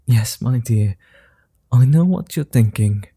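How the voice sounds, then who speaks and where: Man as a suave male vampire, very dark soft tone, slow unhurried delivery, courtly, flirty, gentle, ASMR roleplay. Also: medium pitch, animated, breathy edge, no room sound, full character voice. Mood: smooth, seductive. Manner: courtly formal wording, unhurried pauses, teasing. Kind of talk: ASMR roleplay, softly acted monologue.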